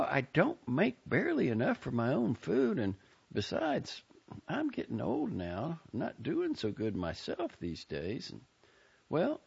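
A man's voice: gravelly, a little mellow, husky, heavily acted. A man reading aloud, voicing a scolding but loving older aunt in a raised, pinched voice. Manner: high, pinched voice with drawn-out scolding lines. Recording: dull lo-fi recording.

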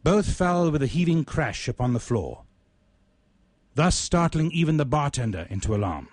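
A man reading his own literary short story aloud in a deliberate, measured, ornate manner. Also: on the high side, big pitch swings, a little mellow, dry recording.

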